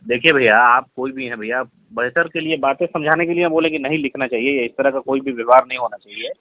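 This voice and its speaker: A man's voice: mid-range voice, quick pace. A man with a Bihari accent speaks deferentially and apologetically, pleading and explaining himself over the phone. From Bihar, India. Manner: deferential, pleading, repeatedly saying brother. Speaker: caller being confronted over a social media comment. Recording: tinny, lo-fi recorded phone call.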